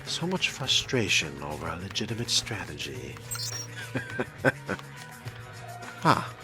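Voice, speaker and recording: A man doing in-character game commentary with a dry, wordy, sardonic manner, often dropping into a breathy murmur. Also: sits low, sing-song, takes it slowly. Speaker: role-playing let's player. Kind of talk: in-character game commentary, musing aloud and reading text. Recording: game music behind. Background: video game music.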